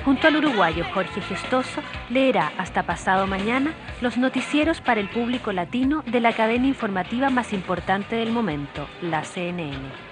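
A woman's voice: fairly high pitch, lively intonation, normal speed. Brief: female TV narrator, polished, music bed under voice. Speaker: TV reporter narrator. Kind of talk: scripted TV feature narration.

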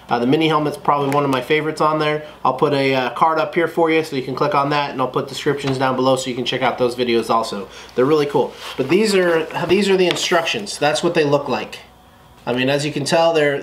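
A man's voice: a little high, fairly bright tone, a little hiss or hum. Upbeat chatty man unboxing a set. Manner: upbeat, chatty, thankful to viewers. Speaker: channel host. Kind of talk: casual unboxing review. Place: small indoor room at a table.

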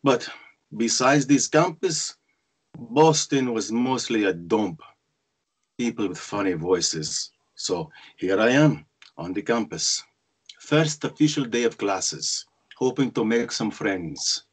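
A man's voice: medium pitch, animated, takes it slowly, husky. A man playing an ambitious, wry young Egyptian immigrant freshman, narrating his own story in a screenplay table read over a video call. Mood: confident, musing. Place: indoors at home, group video call. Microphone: computer or headset on video call.

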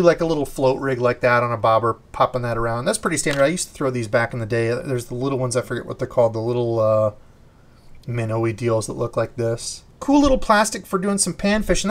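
A young man hosting his fishing channel, chatting with enthusiasm as he unboxes and shows lures indoors. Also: mid-range voice. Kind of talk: casual unboxing chat.

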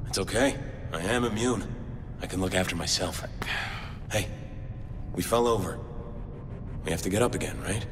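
A man's voice: low voice, moderate pace, long pauses, in a large hall, music in the background, lightly acted. An actor playing a tough, wry man surviving a zombie outbreak in a video game. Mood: determined. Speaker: player character.

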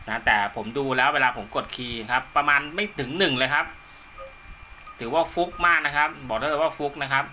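A man's voice: medium pitch, fairly quick, sharp tone, slight background noise. A man giving a casual hands-on demonstration as a radio hobbyist, recorded indoors at home. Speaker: amateur radio hobbyist presenting his own video.

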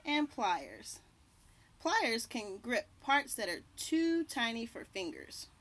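Slow, higher-pitched young woman reading aloud outdoors. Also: big pitch swings, fairly bright tone. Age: young adult. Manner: deliberate, pausing to point out and label pictures. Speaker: children's read-aloud host. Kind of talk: reading a picture book aloud to children. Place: outdoors on a house porch by trees. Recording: birdsong and light outdoor background noise. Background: birds chirping.

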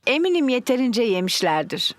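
A woman voicing a caring, gently teasing mother pig in a children's cartoon, with an encouraging, motherly way of talking. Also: on the high side, big pitch swings, fairly quick, dry recording. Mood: gently chiding. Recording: music behind the voice.

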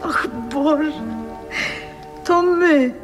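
An actress playing a dreamy old former opera singer lost in tender memories, in a 1960s radio drama. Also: female voice, high-pitched, very slow. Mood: dreamy, wistful. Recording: long reverb, music underneath, vintage radio.

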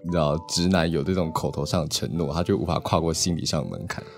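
A man's voice: a bass voice, fairly even intonation, fast talker, faint music behind. A man with a Taiwanese Mandarin accent, co-hosting a casual discussion about books. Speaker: co-host. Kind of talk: casual discussion on a book podcast.

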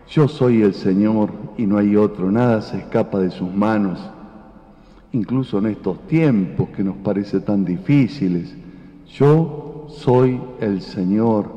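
Older guy, priest at mass, mellow slowish voice, big echoey church, kinda dull sound. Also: medium pitch. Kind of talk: Gospel reading and homily. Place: large brick church. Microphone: lectern gooseneck microphone.

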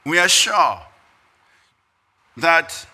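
Older male preacher, Ghanaian accent, very sharp high voice, phrase-by-phrase conference address at a podium. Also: sing-song, very slow, breathy edge. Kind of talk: prepared speech read at a lectern. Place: conference hall stage. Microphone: podium microphones.

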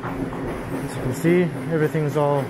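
A man giving an unhurried, casual running commentary while walking through an indoor mall, filmed on a handheld camera. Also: a little high, heavy background noise. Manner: relaxed, unhurried commentary with pauses. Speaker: walking tour vlogger. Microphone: handheld gimbal camera.

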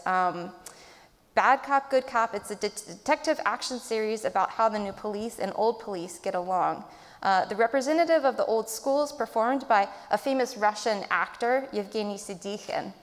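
A woman's voice: medium pitch, normal speed. Masked woman panelist in a reverberant hall. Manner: thoughtful, hedging with ums. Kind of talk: academic panel discussion. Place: large auditorium stage. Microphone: table mic, wearing a mask.

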